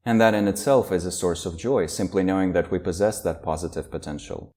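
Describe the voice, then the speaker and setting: A man leading a guided meditation, speaking slowly and calmly with long pauses between phrases. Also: low-pitched. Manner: slow, calm, with long pauses. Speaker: meditation teacher.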